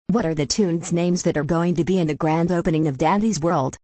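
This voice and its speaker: Low-voiced female news anchor, played by a woman. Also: fairly quick, dark tone, no echo, natural voice. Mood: composed. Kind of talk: scripted newscast.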